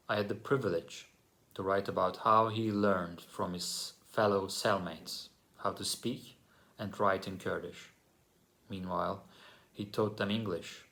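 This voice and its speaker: Male author from Turkey, lowish gravelly voice, measured prepared reading. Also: fairly bright tone. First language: Turkish.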